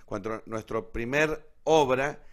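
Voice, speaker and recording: An Argentine man hosting a live online show casually, in a rambling, halting way that often corrects itself. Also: medium pitch. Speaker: host of an online radio theatre show.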